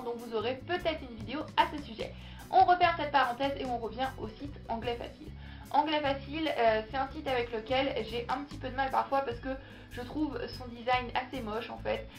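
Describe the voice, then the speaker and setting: Chatty young woman vlogger, echoey room. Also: high voice. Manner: chatty, upbeat, recommending. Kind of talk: casual talk to camera. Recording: echoey room with music behind.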